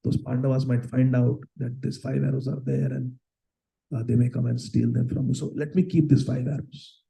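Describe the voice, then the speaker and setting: A man's voice: mid-range voice, little pitch movement, normal speed, vocal fry, dark tone, low-quality recording. A man voicing a frustrated, scheming prince who pleads, insults and wheedles. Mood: wheedling. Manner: pleading, then pushy and wheedling.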